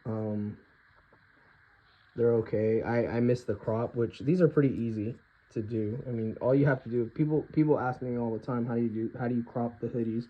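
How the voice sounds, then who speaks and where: A hoarse man talking informally and with strong opinions in an unscripted collector's review, often clearing his throat. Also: mid-range voice, steady tone, moderate pace, highs cut off. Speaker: hoodie collector reviewing products. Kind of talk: casual unscripted compare-and-contrast review.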